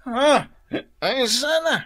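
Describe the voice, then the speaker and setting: An actor with an Ilocano accent playing a shiftless, hard-drinking, wheedling workman in an excitable radio drama style. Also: very high-pitched, very slow, exaggerated voice.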